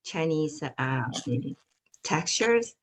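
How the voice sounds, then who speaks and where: A woman's voice: sits low, animated, takes it slowly. A woman introducing herself to a class on a video call, hesitant, with many fillers. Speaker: student.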